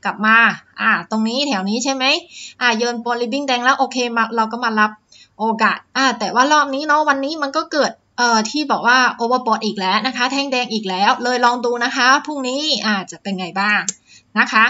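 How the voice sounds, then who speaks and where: A woman's voice: a little high, fairly quick, pushed and bright, muffled recording. A woman teaching stock chart reading step by step, with frequent "uh" fillers and polite sentence tags. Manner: explains step by step, many "อ่า" fillers and "นะคะ" tags. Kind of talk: screen-share stock chart lesson.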